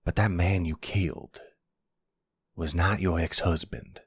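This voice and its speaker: A man playing a protective, calm older mafia grandfather in an ASMR roleplay, speaking gently in a folksy way. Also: low-pitched, measured pace, breathy edge, dry recording. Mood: concerned, steady. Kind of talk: ASMR boyfriend roleplay acting.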